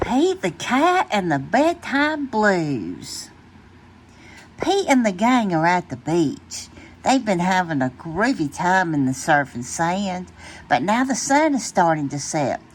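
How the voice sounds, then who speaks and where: A woman reading a children's bedtime story aloud in an expressive, deliberate way. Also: lowish voice, husky. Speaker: storytime reader.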